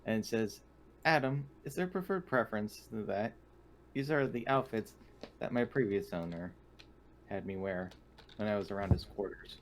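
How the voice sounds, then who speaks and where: A man's voice: on the low side, normal speed, slight background noise. A man casually narrating a character's actions as a player in a tabletop game.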